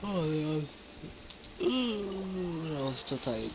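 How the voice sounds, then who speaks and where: A man's voice: on the high side, expressive, extremely slow, background noise. A man live-streaming a game, chatting casually in slangy, exclamatory bursts.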